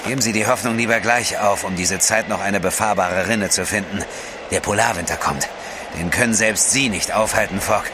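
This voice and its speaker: Doom-saying polar traveller played by a man, dismissive, fairly low pitch, radio-play style over background noise. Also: normal speed, close to an everyday voice. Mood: bleak. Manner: pessimistic, blunt. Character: gloomy, dismissive sceptic on a polar trek. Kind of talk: audio drama acting. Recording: clear outdoor background noise.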